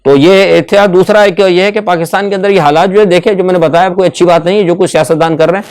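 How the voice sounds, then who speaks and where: A man's voice: high-pitched, fairly even intonation, quick pace, darkish tone. A man with a Pakistani accent giving unscripted, opinionated political commentary as a vlogger, conversational and mixing in English words.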